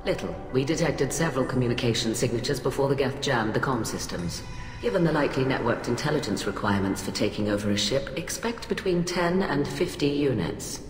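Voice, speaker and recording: An actress playing a cold, haughty alien admiral in a video game, speaking in a clipped, formal, condescending way. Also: female voice, extremely low pitch, lively intonation, brisk. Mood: cool disdain. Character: cold, haughty alien admiral, female, middle-aged scientist, ruthlessly rational. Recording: echoey hall reverb, music behind.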